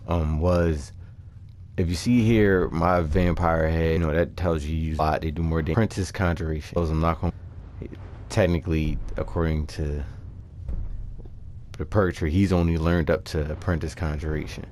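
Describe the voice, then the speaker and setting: A man with a low voice talks through a video game build in a casual, unscripted style. He speaks informally and haltingly, slipping into slang as he explains his choices to viewers. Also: steady tone, darkish tone, slight background noise. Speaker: gaming video creator presenting character builds. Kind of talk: casual unscripted gameplay walkthrough commentary.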